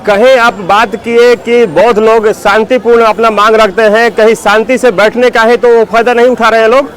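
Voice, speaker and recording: A man with a very high-pitched voice interviews someone as a street reporter. He speaks in questioning news-interview style, recorded outdoors. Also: level tone, normal speed, a bit bright.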